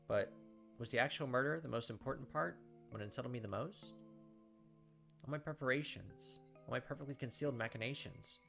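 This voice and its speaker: A man's voice: medium pitch, vocal fry, a bit bright. A man reading a visual novel aloud in a dramatic first-person way, with casual asides as a gaming host. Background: game music.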